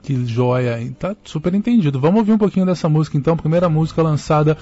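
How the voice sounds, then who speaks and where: Radio host man, slightly high voice. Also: fast, warm tone, no echo, soft background music. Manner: conversational, reads out listener messages. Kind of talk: live radio interview. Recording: dull lo-fi radio audio.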